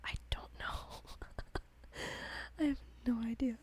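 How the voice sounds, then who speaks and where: A woman whispering an ASMR reading in a soft, gentle voice, with light giggles between passages. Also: fairly high pitch, fairly even intonation, slow. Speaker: ASMR host.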